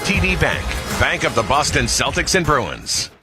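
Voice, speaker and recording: A man's voice: mid-range voice, wildly swooping pitch, normal speed, music in the background. A man giving a scripted promotional read as a radio sponsor announcer.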